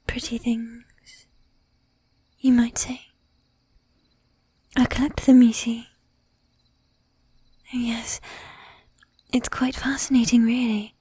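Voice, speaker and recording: Woman acting a predatory lady vampire, whispery and breathy, high-pitched, bossy, sweetly menacing, teasing formal manner, close-miked ASMR roleplay. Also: warm tone, dry recording. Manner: coaxing, affected old-fashioned formality, drawn-out teasing. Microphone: close ASMR microphone.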